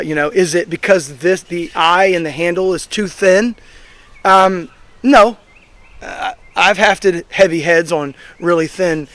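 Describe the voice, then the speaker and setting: A hoarse man in his thirties, host of an axe throwing channel, giving a rambling, opinionated review full of fillers. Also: fairly high pitch, fairly bright tone. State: hoarse, recovering from being sick. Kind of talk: casual outdoor review vlog. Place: outdoors at a throwing range.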